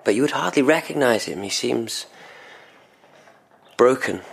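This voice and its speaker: A man's voice: mid-range voice, lively intonation, unhurried, gravelly, a bit bright, slightly rough, full character voice. A man playing a polite, troubled investigator in an audio drama, courteous, earnest and a little hesitant. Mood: pensive. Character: Karl Gruber, polite, troubled male investigator.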